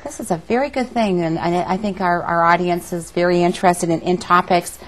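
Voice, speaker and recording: A woman's voice: on the low side, fairly even intonation, fairly quick, a little hiss or hum. A woman hosting a talk show, introducing her guest and asking questions. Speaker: show host and interviewer. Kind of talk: interview, introducing and prompting a guest.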